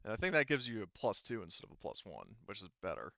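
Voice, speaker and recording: A man running a tabletop roleplaying game as game master, explaining casually and often pausing to think aloud. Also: lowish voice, huge pitch swings, creaky, bright, forceful tone, no room sound, dull audio.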